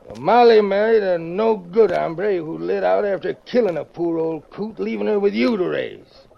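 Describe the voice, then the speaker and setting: An actor playing a bitter, scheming older uncle in an old-time radio western, with a gravelly American drawl and a wheedling, sneering manner. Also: male voice, high voice, normal speed, slight background noise. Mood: sneering, threatening. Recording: muffled vintage radio recording.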